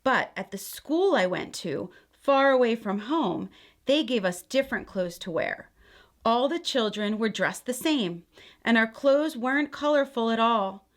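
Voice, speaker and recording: A woman's voice: a little high, lively intonation, measured pace. A woman reading the part of a patient grandmother who looks back reflectively on her childhood in a picture book.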